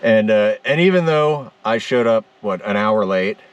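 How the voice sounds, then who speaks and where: A man hosting a casual vlog, talking in an upbeat but halting way. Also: on the low side, animated, clear, resonant tone, lo-fi sound. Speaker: ham radio vlogger, host. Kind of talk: casual unscripted vlog chat. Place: rural homestead on a rainy day. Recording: distant on-location microphone.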